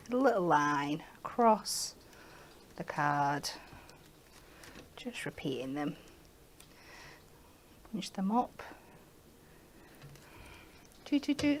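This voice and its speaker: Woman, croaky voice, bouncy up-and-down pitch, chatty craft demo on a shopping show. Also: sing-song, slow, long pauses, a little hiss or hum. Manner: chatty, playful asides while working. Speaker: craft demonstrator.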